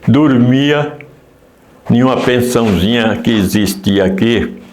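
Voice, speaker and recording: A man, slowly recalling his past in a documentary interview. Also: medium pitch, gravelly, a little hiss or hum. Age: 80s. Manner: unhurried, halting recollection. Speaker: former mayor interviewee. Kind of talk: documentary testimony, reminiscing. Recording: echoey room with faint ambience.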